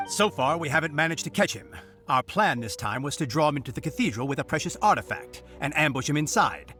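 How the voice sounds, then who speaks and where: A man's voice: fairly high pitch, big pitch swings, brisk, bright, forceful tone. A man playing an officious, dutiful knight on guard duty in an anime-style video game, speaking in a formal, declamatory way. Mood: explanatory. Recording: music behind the voice.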